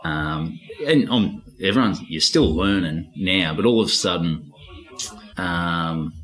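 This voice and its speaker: A man's voice: low voice, slow, fairly bright tone, echoey room, rough recording. A middle-aged Australian man, a stand-up comedian, chatting casually in an interview and rambling with a self-mocking manner. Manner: rambling, loses track, acts out voices.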